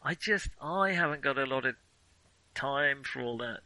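A man's voice: medium pitch, animated, normal speed, sharp tone, no echo, rough recording. A man co-hosting a film podcast, talking casually and digressively with firm opinions.

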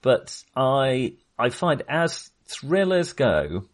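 A man's voice: medium pitch, sing-song, drawn-out words. A man co-hosting a podcast, talking casually about films, with many restarts and hesitations. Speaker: podcast co-host. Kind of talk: casual film discussion.

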